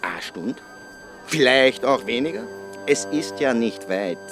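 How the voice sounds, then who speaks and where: An actor playing a discreet, stiffly polite count's servant in a radio drama. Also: male voice, medium pitch, lively intonation, slow, vocal fry, music in the background. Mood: polite, insistent. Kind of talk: German-language radio drama acting.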